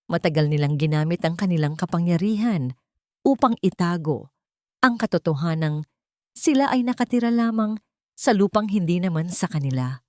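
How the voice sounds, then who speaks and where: Lady doing an old filipino lawyer guy in an audio drama, solemn, kind, deliberate, dry sound. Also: fairly low pitch, lively intonation, dark tone, no room sound, close to an everyday voice. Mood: grave, revealing.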